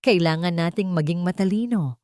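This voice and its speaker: A woman voicing a serious, blunt lawyer in his forties, calm and formal, in a narrated drama story. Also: on the low side, fairly quick, no echo, studio quality, lightly acted. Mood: measured, serious.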